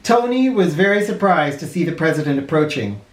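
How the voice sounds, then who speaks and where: Animated man reading a storybook, very lively pitch. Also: a little fast. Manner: theatrical, playful. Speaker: children's storybook reader, host. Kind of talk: animated read-aloud narration with asides. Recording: roomy echo.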